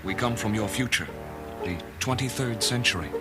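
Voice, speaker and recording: A man's voice: normal speed, close to an everyday voice. A man playing an earnest, commanding starship admiral in a dramatized children's read-along storybook. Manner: earnest, authoritative. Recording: voice over loud music and rumble.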